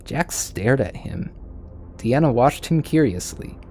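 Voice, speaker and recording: A man's voice: medium pitch, animated, slow, mellow, a little hiss or hum. A man reading a story aloud in an informal way, sometimes stumbling over lines. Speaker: fan fiction reader.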